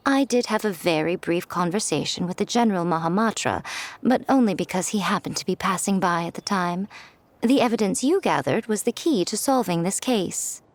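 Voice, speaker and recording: A woman's voice: mid-range voice, normal speed, dry recording, lightly acted. Woman as a poised, wry, elegant lady. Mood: wry, weary. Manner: wry, languid, teasing. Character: poised, wry, self-assured elegant woman, teasingly aloof. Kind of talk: video game character acting.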